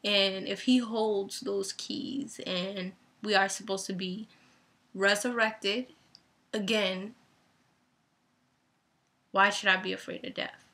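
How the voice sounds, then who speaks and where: A young woman in a reflective personal vlog, speaking thoughtfully and deliberately about faith, her voice going dry and raspy. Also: mid-range voice, steady tone, bright, forceful tone. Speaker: vlogger and author.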